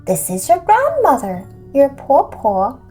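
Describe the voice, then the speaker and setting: Storybook wolf played by a woman: old, male, sly, coaxing as a fake granny, unhurried, music underneath. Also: high voice, wildly swooping pitch, dark tone, pitched above the performer's own voice, heavily acted. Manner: coaxing, falsely affectionate. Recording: music behind the voice.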